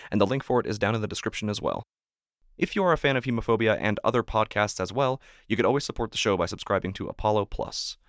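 Deep gravelly man, scripted podcast narration. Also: no room sound, studio quality. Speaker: podcast creator, host and announcer.

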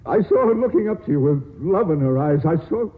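An actor playing a jealous, earnest, aggrieved fiancé in a petulant, combative 1940s radio style. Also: male voice, fairly high pitch, expressive, brisk, natural voice. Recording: tinny old radio broadcast.

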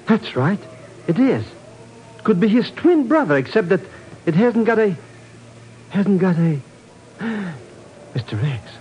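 An actor playing an excitable, anxious comic sidekick in a 1950s radio drama, stammering and repeating himself. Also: male voice, high-pitched, slow, pauses often, highs cut off, heavily acted. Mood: puzzled, uneasy. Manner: flustered, stammering, repeats words. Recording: noisy vintage radio recording.

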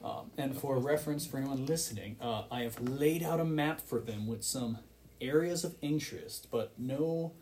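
A man's voice: mid-range voice, normal speed, a little mellow, a little hiss or hum. A man acting as game master, narrating a tabletop game with descriptive scene-setting.

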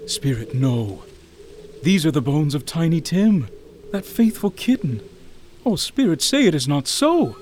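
An actor playing a frightened writer who pleads with a ghost, with a trembling, theatrical delivery. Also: male voice, on the high side, big pitch swings, measured pace, a little mellow, slight background noise. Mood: mournful. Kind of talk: radio drama acting.

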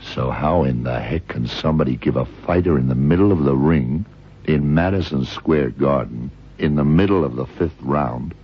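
Hard-boiled American detective, very low voice, shrewd, sardonic, old radio crime drama, muffled noisy recording. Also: male voice, normal speed, breathy edge, exaggerated voice. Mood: commanding.

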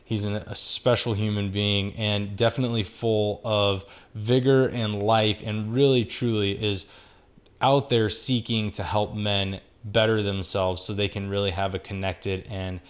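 A man's voice: lowish voice, fairly even intonation, unhurried. A male podcast host earnestly reading an introduction of his guest.

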